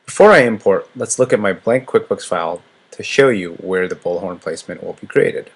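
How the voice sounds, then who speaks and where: Low-pitched, slightly husky male demo narrator. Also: animated, fairly quick. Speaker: software demo presenter. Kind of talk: scripted product demo narration.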